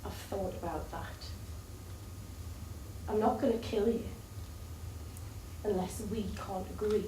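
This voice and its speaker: A woman's voice: medium pitch, slow, dark tone, lightly acted. A woman acting in a stage play as a desperate, bitter, jealous woman, volatile, foul-mouthed and sardonic. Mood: cold menace. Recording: roomy, distant stage recording with faint noise.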